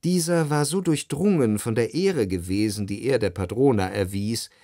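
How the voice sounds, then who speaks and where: A man narrating a literary radio play in the first person, measured and composed, in a dry studio. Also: medium pitch, mellow, no echo, close to an everyday voice. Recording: dry close studio sound.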